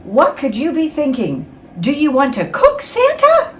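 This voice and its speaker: Lady doing a bossy excitable little piglet girl from a storybook, squeaky high, roomy distant mic. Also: a little slow, shouting, a little hiss or hum, full character voice. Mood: alarmed. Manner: exclaiming and dramatic.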